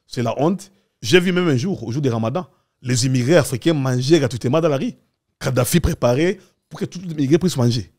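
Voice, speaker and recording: A man's voice: mid-range voice, quick pace, darkish tone. A man speaking as a guest in an opinionated political discussion, assertively explaining with examples.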